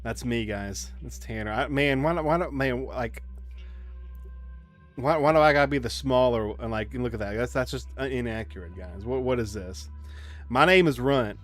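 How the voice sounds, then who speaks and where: A man hosting a gaming stream, chatting informally and haltingly as he comments and reads on-screen text aloud. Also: medium pitch, faint music behind. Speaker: livestream gaming host.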